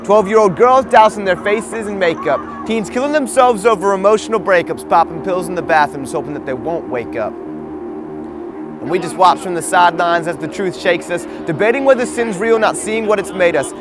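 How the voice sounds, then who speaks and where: A man's voice: sits high, lively intonation, moderate pace. Earnest young man performing spoken word. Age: young adult. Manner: rhythmic rhyming delivery, earnest and urgent. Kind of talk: spoken word poetry over music. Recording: voice-over over loud music.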